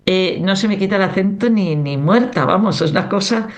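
A woman's voice: medium pitch, expressive, brisk. Rambling woman with a strong Cantabrian accent. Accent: Cantabrian Spanish. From Cantabria, Spain. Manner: rambling, conversational. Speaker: choir member, interview guest. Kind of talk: casual interview chat.

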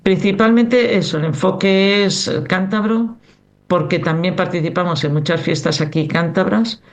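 A woman's voice: fairly low pitch, fairly even intonation, normal speed. Middle-aged Cantabrian woman, chatty rambling interviewee, strong regional accent. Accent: Cantabrian Spanish. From Cantabria, Spain. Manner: rambling, conversational. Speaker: choir member, interview guest. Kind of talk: casual interview chat.

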